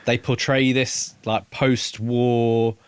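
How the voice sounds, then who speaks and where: A man co-hosting a podcast, discussing a book casually and haltingly, thinking aloud in repeated short phrases. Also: medium pitch, little pitch movement, smooth, no echo. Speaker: podcast co-host reviewing a book.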